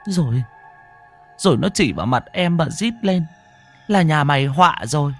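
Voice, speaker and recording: A man's voice: on the high side, lively intonation, moderate pace, fairly bright tone, faint music behind, pitched above the performer's own voice. A man voicing a terrified young village wife who stammers in panic. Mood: panicked.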